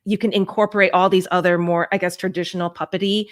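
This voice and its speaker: A woman's voice: lowish voice, level tone, quick pace. A woman from Hong Kong chatting casually as an interview guest, hesitant and self-effacing, thinking aloud. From Hong Kong, born in the US. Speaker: guest puppeteer and storyteller.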